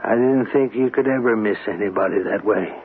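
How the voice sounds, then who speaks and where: An actor playing a troubled, fussy, grieving householder in a radio drama, speaking in a hesitant, faltering way. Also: male voice, lowish voice, brisk, natural voice. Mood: mournful. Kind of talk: 1970s radio drama acting. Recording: tinny, noisy old radio broadcast.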